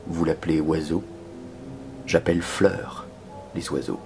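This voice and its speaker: A man performing a dramatic spoken-word poetry reading in a solemn, measured manner that can sink toward a whisper. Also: very deep voice, fairly even intonation, a little mellow, faint music behind. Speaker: poetry reader.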